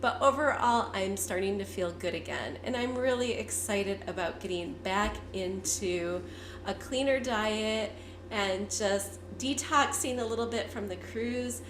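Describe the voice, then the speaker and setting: A fifty-year-old woman, hoarse and congested from a cold, chatting candidly to her viewers. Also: medium pitch, unhurried, echoey room, background noise. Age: 50s. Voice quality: raspy. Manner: candid, chatty, with frequent fillers. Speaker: vlogger. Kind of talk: casual talk to camera.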